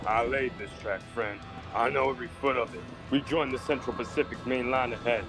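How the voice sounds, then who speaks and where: A man playing a plainspoken, determined 19th-century American railroad worker in a dramatic comic dub, with loud music behind him. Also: mid-range voice, steady tone, fairly quick, natural voice. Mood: urgent, determined.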